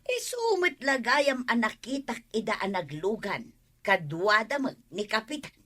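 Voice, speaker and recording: A woman's voice: fairly high pitch, very animated, a little slow, a bit bright, full character voice. A woman with an Ilocano accent playing a fretful, gossipy village neighbour in a radio comedy drama, chatty and dramatic.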